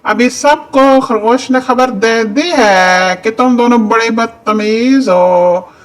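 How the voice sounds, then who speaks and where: A woman's voice: fairly high pitch, slow, pitched above the performer's own voice. A woman voicing a stern male animal king in a children's cartoon story, scolding and dismissive. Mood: scornful.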